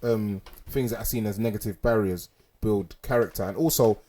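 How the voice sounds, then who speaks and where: A man's voice: fairly low pitch, normal speed, dark tone. A man co-hosting a casual podcast, talking in an earnest, reflective way and filling with "like". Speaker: podcast host.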